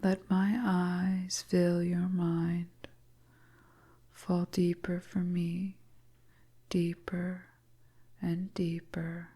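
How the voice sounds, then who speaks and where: A woman's voice: lowish voice, completely flat delivery, drawn-out words, pauses often, warm tone, slightly rough, heavily acted. A woman voicing a seductive, commanding witch fortune-teller in a whispered hypnotic ASMR roleplay, coaxing her listener.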